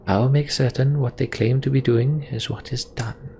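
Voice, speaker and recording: A man voicing a scheming, solemn mystic in a fantasy game, speaking slowly and conspiratorially. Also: mid-range voice, fairly even intonation, gravelly, warm tone, slight background noise, voice pushed up, lightly acted.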